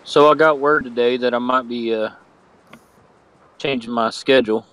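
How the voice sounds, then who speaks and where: A man's voice: mid-range voice, fairly even intonation, normal speed, a bit bright, clean tone, low-quality recording. Stuffy-nosed man chatting on a stream. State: stuffy from a cold. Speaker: friend joining the stream. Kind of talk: casual chat.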